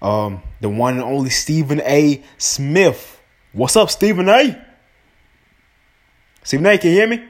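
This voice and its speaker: A man's voice: a little high, expressive, unhurried, pauses often. A man hosting a casual podcast interview, upbeat and friendly with his guest. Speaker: podcast host.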